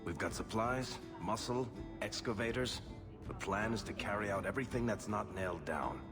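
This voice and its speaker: An actor playing a wry, glib dwarf adventurer with roguish charm in a video game. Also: male voice, lowish voice, normal speed, natural voice. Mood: wry briefing. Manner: sardonic, glib, self-amused storytelling. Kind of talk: video game character dialogue. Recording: music behind the voice.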